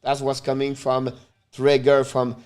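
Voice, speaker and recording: A man from Montreal whose first language is French, speaking English with a Quebec French accent as he hosts a casual live stream, calling the game and chatting with viewers, with loud sudden outbursts. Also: mid-range voice, monotone, unhurried. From Montreal, Canada. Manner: talks straight to viewers. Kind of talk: casual live play-by-play and chat.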